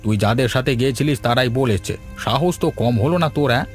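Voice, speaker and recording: A man's voice: medium pitch, quick pace, natural voice. A village husband and father from a cartoon, played by a man, with a mid-range voice. He is easygoing with a stern side, and faint music sits behind him. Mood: stern scolding. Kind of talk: cartoon voice acting.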